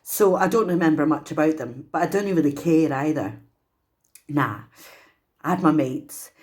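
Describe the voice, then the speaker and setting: A woman with a Scottish accent performing a dramatic, emotive solo stage monologue as a traumatised young woman raised in an orphanage, pausing deliberately. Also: sits low, darkish tone, lightly acted. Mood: wry, reminiscing.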